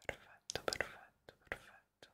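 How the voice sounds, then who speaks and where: Slow whispering young man, ASMR barber roleplay. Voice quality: breathy, unvoiced whisper. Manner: soothing, reassuring, repeating words softly. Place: small dim room indoors. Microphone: close studio microphone in front of him.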